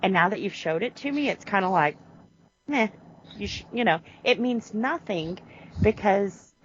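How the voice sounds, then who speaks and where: A woman co-hosting a podcast, chatting casually about a TV series in an animated way, full of restarts and "you know". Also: mid-range voice, normal speed, dull audio, a little hiss or hum. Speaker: podcast co-host. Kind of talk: casual chat reviewing a TV series.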